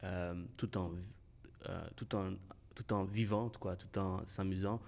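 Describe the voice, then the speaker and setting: A man hosting a podcast. He talks casually and haltingly, restarting his sentences and slipping in English words. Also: sits low, steady tone, vocal fry, a little mellow. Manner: halting and hesitant, many restarts, laughs often, mixes in English. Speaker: podcast host.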